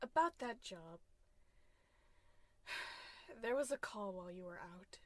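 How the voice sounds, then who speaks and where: A woman's voice: mid-range voice, expressive, takes it slowly, no echo. A woman playing a long-suffering wife in an old-time radio comedy, coaxing yet tart and dryly exasperated. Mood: consoling.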